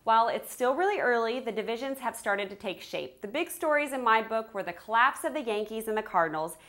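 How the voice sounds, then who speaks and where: A woman's voice: fairly high pitch, lively intonation, fairly quick, over music. A woman in her thirties hosting TV segments and interviews, chatty and playful in a bubbly way. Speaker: TV host. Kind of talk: upbeat hosting and promo presenting.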